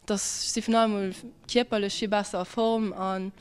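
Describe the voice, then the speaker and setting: A woman's voice: medium pitch, flat delivery, measured pace, darkish tone, slight background noise. A woman, a judo club member answering interview questions in an earnest, straightforward way. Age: 20s.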